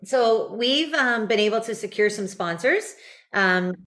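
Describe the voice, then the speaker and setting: A woman guest in an interview, talking relaxed with many fillers. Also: fairly high pitch, unhurried. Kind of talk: casual interview talk.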